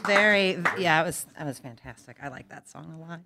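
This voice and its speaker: A woman's voice: sits low, expressive, fairly quick, very noisy. A woman hosting a casual live interview and talking with a guest. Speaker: show host.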